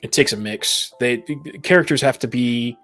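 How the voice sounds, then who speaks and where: Male co-host, average pitch, wry and jokey in relaxed-format hobby chat, light music underneath. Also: normal speed. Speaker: podcast co-host. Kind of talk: casual discussion of tabletop roleplaying.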